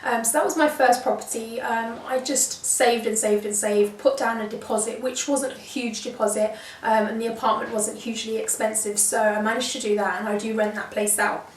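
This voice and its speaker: A woman from the UK in her early thirties chats casually to camera, filling pauses with ums and repeating words for emphasis. Her voice sits a little high. She is heard a little way off the camera mic, in a room with noticeable echo. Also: fairly even intonation, recorded from a distance, slight background noise. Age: 30s. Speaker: lifestyle vlogger. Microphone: shotgun mic on camera. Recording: echoey room, mic set back.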